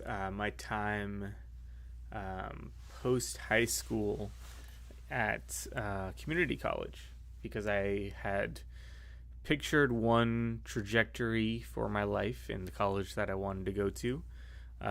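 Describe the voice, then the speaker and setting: An American man leading a casual church class discussion, relaxed and thinking aloud with fillers. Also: lowish voice, gravelly.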